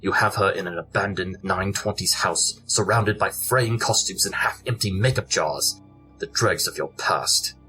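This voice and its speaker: A stern, brilliant detective pony played by a man with a low, bright, forceful voice. He speaks in formal, clipped sentences, like a Victorian gentleman in an audio drama. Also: moderate pace, faint music behind, low-quality recording. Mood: stern, reproachful.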